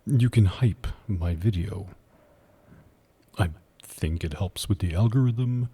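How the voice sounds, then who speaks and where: A man with a husky voice talking casually to listeners as the host of an ASMR voice acting channel. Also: lowish voice, dark tone.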